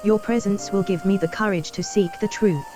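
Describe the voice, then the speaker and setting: An actress playing a gentle, frail young noblewoman in a video game, with formal, measured, polite phrasing. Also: medium pitch, fairly even intonation, close to an everyday voice. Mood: quietly resolved. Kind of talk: scripted video game dialogue. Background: game music.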